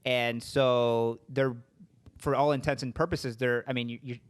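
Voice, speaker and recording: A man's voice: mid-range voice, fairly even intonation, a little slow, smooth. A man hosting a podcast interview, talking casually with lots of restarts and fillers. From Puerto Rican family, raised in US. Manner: rambling, many restarts and fillers. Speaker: podcast host.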